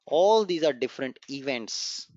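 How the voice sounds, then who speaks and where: A man's voice: medium pitch, lively intonation, measured pace, darkish tone, dry recording. A man whose first language is Gujarati teaches a live online coding class, encouraging his students and repeating the key points as he walks through the code step by step. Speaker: programming instructor. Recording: dry, dull lo-fi online class recording.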